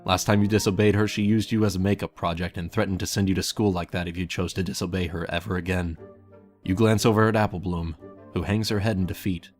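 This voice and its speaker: A man narrates a scripted fan fiction story in a low voice. Faint music plays underneath his reading. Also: fairly even intonation, fast.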